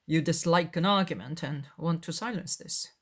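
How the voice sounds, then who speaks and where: A woman voicing a proud, reserved gentleman in a dramatised audiobook, in a measured, formal and exacting way. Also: low-pitched. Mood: dry. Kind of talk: dramatised audiobook of a classic novel.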